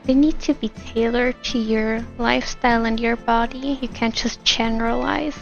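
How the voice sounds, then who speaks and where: A woman's voice: on the high side, fairly even intonation, normal speed, gravelly, highs cut off. A woman streamer giving casual, giggly and sympathetic commentary on a story game. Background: video game music.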